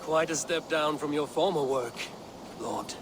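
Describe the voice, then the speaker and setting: An actor playing a steadfast Viking warrior leader with a measured, direct delivery in a game drama. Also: male voice, on the high side, background noise, lightly acted. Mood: probing. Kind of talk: dramatic game cutscene acting.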